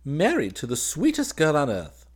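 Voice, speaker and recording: A man's voice: medium pitch, huge pitch swings, moderate pace, slightly rough, pitched above the performer's own voice. A man voicing a boyish, happy young army officer in a story, with eager, exclamatory chatter. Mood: happy, excited. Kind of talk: dramatised audiobook reading.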